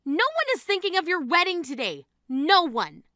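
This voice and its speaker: A woman's voice: on the high side, lively intonation, moderate pace, bright, forceful tone, dry recording, clean studio sound. A woman playing an exasperated, grieving sister who scolds in an incredulous, reproachful way in a dramatized story. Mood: furious. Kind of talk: dramatized phone-call story dialogue.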